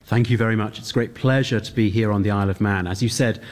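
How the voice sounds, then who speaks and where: Man, from Wales, priest, lowish voice, earnest, explanatory, gently humorous. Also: steady tone, fast talker. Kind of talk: interview answers.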